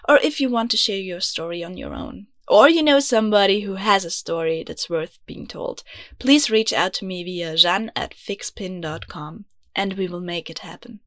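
A young woman from Austria with an Austrian accent, a native German speaker, hosting her own podcast in an earnest, confiding way and sometimes dropping into soft, low tones. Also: no echo, studio quality. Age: young adult. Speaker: podcast host. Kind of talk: scripted podcast monologue. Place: small closet.

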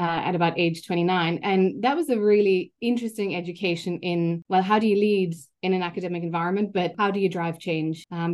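A woman's voice: fairly low pitch, darkish tone. Earnest woman with a German accent. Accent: German, with time in Ireland. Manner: earnest, articulate, repeats words as she restarts. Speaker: guest, learning innovation executive. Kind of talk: reflective interview talk.

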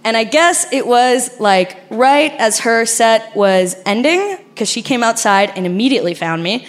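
Young argentinian woman telling a story onstage, bit high voice, animated and cursing. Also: moderate pace. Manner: animated, laughing, frank and profane. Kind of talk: live storytelling. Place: stage before a live audience.

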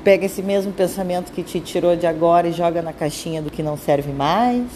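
A woman with a Brazilian accent leading a guided meditation in a calm, soothing, instructing manner with drawn-out phrases. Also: fairly low pitch, slight background noise.

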